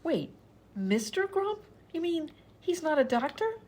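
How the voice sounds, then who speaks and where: A woman plays an indignant, exasperated female patient in a radio comedy. The voice is high and very animated in pitch, full of pleading protest. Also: measured pace, full character voice. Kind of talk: comedy radio sketch acting.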